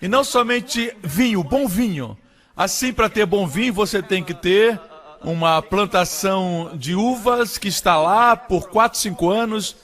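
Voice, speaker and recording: Emphatic preaching man. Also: measured pace. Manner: emphatic preaching, leaps higher and louder on key phrases. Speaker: voice-over for a preacher. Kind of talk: dubbed sermon.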